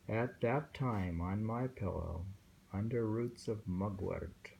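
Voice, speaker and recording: A man reading poems aloud informally and commenting on them in a halting, musing way. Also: deep voice, creaky, mellow, a little hiss or hum, low-quality recording. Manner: halting, musing, with pauses and self-questioning. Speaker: reader and host discussing poems.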